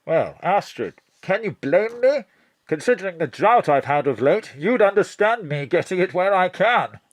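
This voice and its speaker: Suave flirty bloke, voice swoops around, smarmy, radio play, very dry sound. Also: male voice, high voice, sing-song, normal speed, dry recording. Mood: suggestive. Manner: smarmy, innuendo-laden. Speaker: actor in audio drama. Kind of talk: comic noir audio drama acting.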